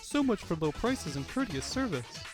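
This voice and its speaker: A man's voice: high voice, very animated, moderate pace. A man voicing a confident, prim young animal princess in a cartoon-style comic dub. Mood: wry. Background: background music.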